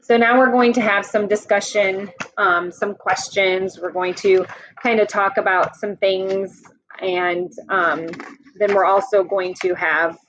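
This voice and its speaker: A woman's voice: mid-range voice, steady tone, fairly bright tone, slight background noise, low-quality recording. A woman teacher reading a picture book aloud to children and stopping to ask them questions in a patient way. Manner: slow, patient, asks questions to young listeners. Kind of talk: read-aloud story with class questions.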